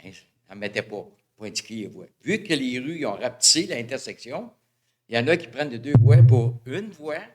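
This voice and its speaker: A man with a Québécois accent speaks up during a council's public question period. He rambles and restarts as he talks, in a voice of middling pitch. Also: lively intonation, brisk, darkish tone, slight background noise. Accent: Québécois French. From Val-d'Or, Quebec. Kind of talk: casual public comment.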